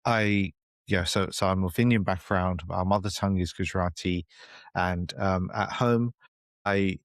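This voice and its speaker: A man's voice: low voice, steady tone, a little slow, dry recording, clean studio sound. A man with a British accent, a podcast guest explaining ideas in a reflective, conversational way, often adding "you know" and "right?". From United Kingdom. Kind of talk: conversational interview.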